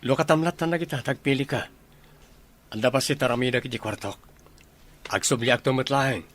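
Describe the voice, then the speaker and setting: A man's voice: medium pitch, fairly quick, fairly bright tone, lightly acted. Actor as young man of the house, Ilocano radio comedy. Mid-range voice, courteous, attentive, natural delivery. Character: courteous, attentive young gentleman showing his partner around home.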